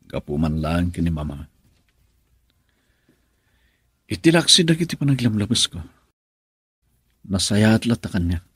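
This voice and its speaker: A troubled husband and dutiful son-in-law in a Filipino radio drama. His voice is lowish and husky, earnest and brooding, with a dry studio closeness. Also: sing-song, normal speed, fairly bright tone, dry recording, heavily acted.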